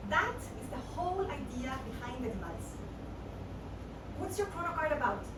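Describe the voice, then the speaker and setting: A woman with an Argentinian accent performs a comic reading with playful, theatrical flair. Her high voice swings widely between dry asides and bright bursts. She is recorded at a distance in an echoey room, with noticeable background noise. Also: big pitch swings, normal speed. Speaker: performer of a one-woman show. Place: room at home with a live audience.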